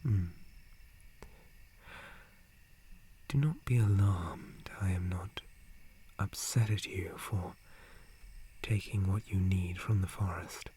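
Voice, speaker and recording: A man voicing a gentle, polite dryad guardian in a breathy, formal whisper for an ASMR roleplay. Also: low-pitched, slow pace, a little hiss or hum. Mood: gentle, concerned. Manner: formal, courteous, hesitant, softly hushed. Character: gentle, polite male dryad, forest guardian tree spirit.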